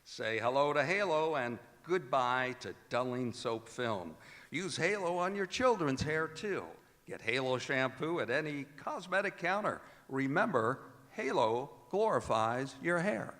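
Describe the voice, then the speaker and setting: A man's voice: mid-range voice, expressive, unhurried, a bit bright. A man with an American accent announcing in the style of an old-time radio show, reading out credits and sponsor messages. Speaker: announcer and director of a radio theater. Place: performing arts center stage. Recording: echoey hall, long reverb, music bleeding in. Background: music.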